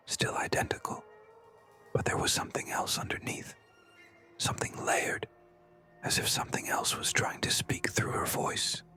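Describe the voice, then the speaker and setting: A narrator telling a scary story in a breathy, unvoiced whisper, hushed and suspenseful, with pauses. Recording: music behind. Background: background music.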